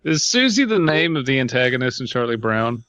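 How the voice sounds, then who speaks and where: A man's voice: mid-range voice, very animated, fairly quick, fairly bright tone, no echo, muffled recording. A man co-hosting a comedy podcast, reading aloud casually and dropping sarcastic asides. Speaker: podcast co-host reading and reacting.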